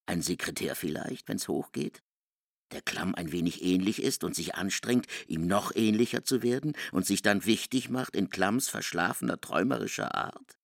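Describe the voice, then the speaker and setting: An actor playing a self-assured, probing man in a literary radio play, reasoning in a deliberate, analytical and emphatic way with a gravelly voice. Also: low voice, no room sound, clean studio sound. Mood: sceptical, musing. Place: dry studio.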